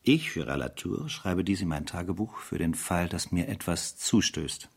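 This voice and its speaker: An actor in a German radio play, playing a stern, relentless army intelligence captain who speaks formally. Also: male voice, deep voice, animated, normal speed, gravelly, slight background noise. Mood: grave. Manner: formal, accusatory.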